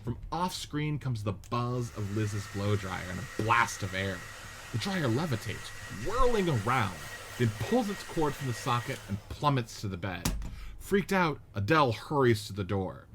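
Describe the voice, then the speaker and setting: A man narrating stage directions in a measured, matter-of-fact way during a radio play script reading. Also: mid-range voice, sing-song, noisy background.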